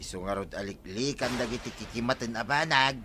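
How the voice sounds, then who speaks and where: A man's voice: medium pitch, a little fast, sharp tone, lightly acted. A man playing a timid, superstitious villager with an anxious, earnest way of talking and an Ilocano accent, in a comedic radio drama. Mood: anxious, insistent. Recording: clearly noisy background ambience.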